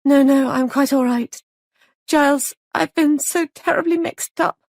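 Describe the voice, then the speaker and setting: A woman's voice: high voice, a little slow, a little mellow, no echo, clean studio sound, voice pushed up. A woman voicing a frightened young wife, anxious and breathless.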